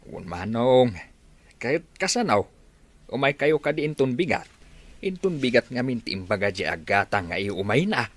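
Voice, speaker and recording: An actor with an Ilocano Filipino accent playing a friendly, deferential farmhand in a radio comedy drama. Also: male voice, mid-range voice, sing-song, normal speed. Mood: respectful, chatty. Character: friendly village farmhand, deferential to his elder.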